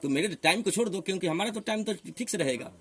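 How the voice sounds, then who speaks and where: A man's voice: high-pitched, lively intonation, quick pace. A man with a Bihari accent arguing like a preacher, assertive and admonishing, often putting rhetorical questions. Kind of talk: heated argument, preaching.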